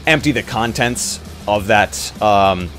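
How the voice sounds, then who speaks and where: A man's voice: fairly low pitch, takes it slowly, a bit bright, faint music behind. A man chatting casually and thinking aloud as a player in a tabletop roleplay.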